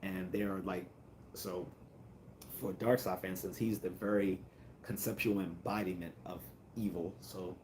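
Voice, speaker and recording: A man with a very low, calm voice chats loosely on his livestream and answers viewer comments as they come in. He rambles, restarts and fills gaps with "um" and "uh". A faint noise runs behind him. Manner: rambling, with many fillers and restarts. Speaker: livestream host. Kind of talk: casual livestream chat, reading comments.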